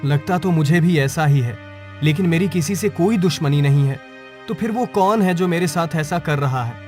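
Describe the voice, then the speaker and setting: A man's voice: fairly high pitch, moderate pace, darkish tone, faint music behind, close to an everyday voice. A man voicing an honest, troubled village woodcutter in a cartoon moral tale. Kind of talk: Hindi cartoon story voice acting.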